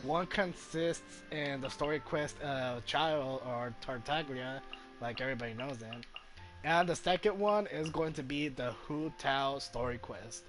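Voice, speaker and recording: A man's voice: mid-range voice, measured pace, fairly bright tone. A man streaming a game, chatting casually in a rambling, hesitant way. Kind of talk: casual livestream chat over gameplay. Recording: game music under the voice. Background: video game music.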